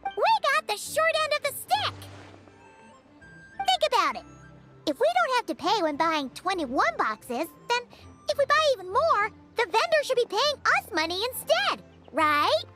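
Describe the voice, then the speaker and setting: A woman voicing a tiny, childlike, excitable and naive fairy companion in a video game, given to exclamations. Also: very high voice, unhurried, over loud music, full character voice. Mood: scheming, excited. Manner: exclaiming, refers to self in third person.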